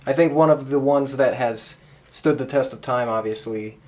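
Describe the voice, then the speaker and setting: A man's voice: mid-range voice, moderate pace, a little mellow. A man co-hosting a podcast, talking in a casual, conversational way. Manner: informal, conversational. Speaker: podcast co-host. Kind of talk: casual chat.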